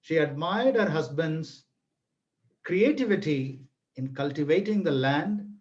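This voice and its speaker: A middle-aged Indian man whose first language is Tamil speaks in a high voice at an unhurried pace. He presents like a dramatic storyteller, deliberate and emphatic. The sound is dull and lo-fi, as on an online webinar. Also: a little mellow. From Tamil Nadu, India. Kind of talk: theatrical read-aloud storytelling in an online lesson.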